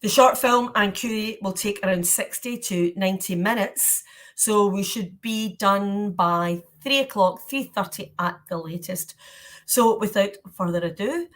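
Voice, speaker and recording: A woman hosting an event, giving a formal welcome and housekeeping announcement. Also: mid-range voice. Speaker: event host.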